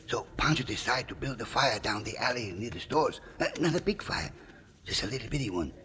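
A man playing an excitable, loyal Mexican sidekick in an old radio drama, speaking broken English and calling himself by name. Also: medium pitch, brisk. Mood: eager. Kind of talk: 1950s radio drama acting. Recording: old radio recording with crowd noise.

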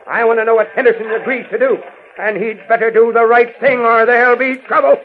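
An actor playing a gruff, bossy, impatient editor-in-chief in a 1940s radio serial. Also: male voice, very high voice, steady tone, brisk, a little hiss or hum. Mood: barking. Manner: barking, impatient, sarcastic. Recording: muffled vintage radio recording.

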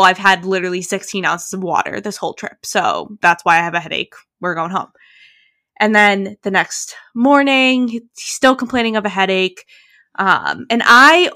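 Chatty young woman telling a story. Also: mid-range voice. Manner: animated storytelling, frequent "like" and "literally". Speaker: podcast co-host. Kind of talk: casual chat.

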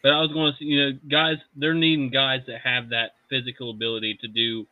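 A man's voice: medium pitch, little pitch movement, fast talker, no room sound. A man co-hosting a podcast in a laid-back, self-mocking way. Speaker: co-host. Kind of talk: casual podcast chat. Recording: dull, dry lo-fi mic.

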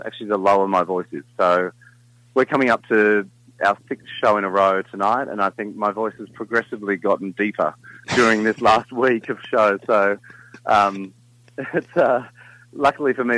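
A middle-aged man with a deep baritone talks in an easygoing, meandering way, often restarting his sentences. His voice is fairly low and comes across close and dry, with no room sound. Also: normal speed, dry recording, poor audio. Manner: relaxed, rambling with many fillers and restarts. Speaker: singer in a vocal group, guest. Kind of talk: casual interview chat.

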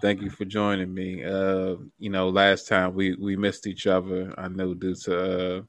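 A man with a low voice hosts a podcast in an informal, chatty style. His intonation stays fairly flat, and the sound is dry. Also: a little slow, no room sound. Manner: informal, frequent "you know" asides. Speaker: podcast host. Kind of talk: casual interview chat.

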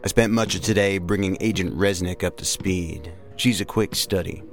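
Plain-spoken investigator, very low gravelly voice, direct, matter-of-fact, audio drama, music behind. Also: male voice, normal speed. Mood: businesslike. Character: level-headed, plain-spoken male federal agent.

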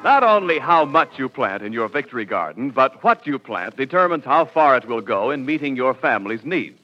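A man's voice: medium pitch, big pitch swings, moderate pace, soft background music. A male announcer delivering scripted narration in a polished, emphatic 1940s radio style. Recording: dull vintage radio recording.

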